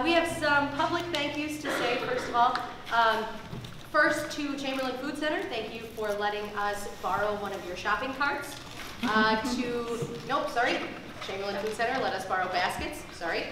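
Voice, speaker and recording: A woman introducing a play informally as its director, halting and correcting herself. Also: a little high. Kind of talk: informal live announcement. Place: theater stage. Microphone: distant camera in the audience. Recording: echoey noisy theater from far off.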